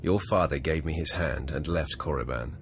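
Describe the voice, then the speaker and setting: An actor playing a cool, confident young Sith warrior in a video game cutscene, formal and measured. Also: male voice, a bass voice, creaky, a little hiss or hum. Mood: calm.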